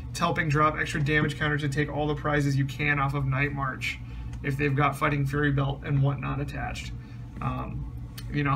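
Guy explaining his card deck off camera, kinda high voice, lots of ums, room hum behind him. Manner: relaxed, rambling, many ums and restarts. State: slightly losing his voice, clears throat. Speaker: card game deck reviewer. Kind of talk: casual deck profile explanation.

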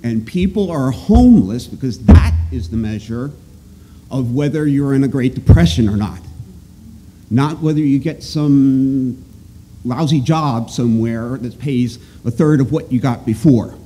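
A man giving halting, opinionated, emphatic testimony off the cuff at a podium microphone in an auditorium. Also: mid-range voice, expressive, mellow, low-quality recording. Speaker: resident and economist giving public testimony. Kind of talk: halting off-the-cuff public speech. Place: large auditorium. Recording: clear background noise in auditorium.

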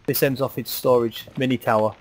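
A man's voice: mid-range voice, fairly even intonation, fairly quick, a little hiss or hum. A man chatting with teammates in voice chat during a shooter match, joking at his own mistakes. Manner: self-mocking, chatty callouts. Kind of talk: team voice chat while gaming.

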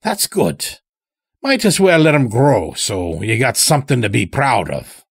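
A man's voice: medium pitch, very animated, a little slow, vocal fry, slightly rough, no room sound, studio quality, exaggerated voice. A man voicing a folksy, taunting old elder in an audio story, chatty and needling with rustic phrasing. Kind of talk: dramatic audio story character.

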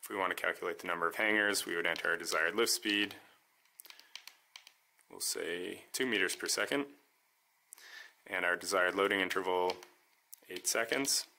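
A man calmly walking through how his homemade device works, step by step, in a voice-over demo. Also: sits low, normal speed, creaky, pauses often, slight background noise. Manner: calm, methodical step-by-step explaining. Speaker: maker demonstrating his device.

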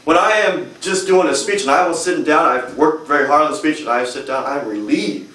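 A man's voice: fairly high pitch, expressive, moderate pace, bright, forceful tone. Young man with a strong, emphatic voice. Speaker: speaker at a public speaking club. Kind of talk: prepared speech with humor. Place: hotel meeting room. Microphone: far camera. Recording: echoey room from far off.

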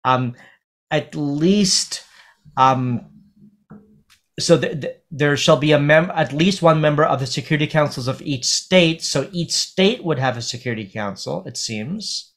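A middle-aged man speaking on a video call as a panel speaker, talking through a legal text in a halting way with many fillers and restarts. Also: a little high, a bit bright. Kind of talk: academic panel talk. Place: home office on video call. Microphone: webcam on video call.

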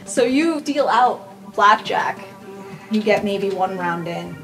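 A woman's voice: mid-range voice, expressive, slow pace, a bit bright, rough recording. A woman narrating an improvised tabletop game casually as game master. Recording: music behind the voice.

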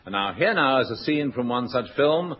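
A man's voice: mid-range voice, animated, moderate pace. Man hosting in a dry, mock-formal way, muffled hissy old broadcast. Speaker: comedy show host. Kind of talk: scripted comic links. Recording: dull 1960s radio recording with hiss.

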